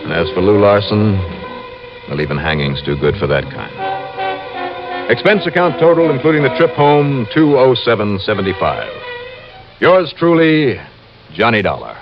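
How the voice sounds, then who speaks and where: An actor playing a cool, wry, tough insurance investigator, dry and unruffled, in vintage radio style. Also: male voice, lowish voice, very animated, measured pace, pauses often, music in the background. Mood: wry narration. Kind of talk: vintage radio detective drama acting and narration. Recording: muffled, hissy old-time radio recording.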